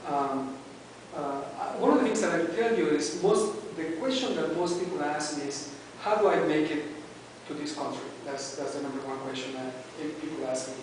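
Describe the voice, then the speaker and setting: A man's voice: fairly high pitch, normal speed, noisy background. Hesitant Mexican man speaking in an echoey room. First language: Spanish. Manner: hesitant, many "uh"s and restarts. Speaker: club member giving a speech. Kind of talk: prepared personal speech to a club. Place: meeting room. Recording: echoey room recorded from far off.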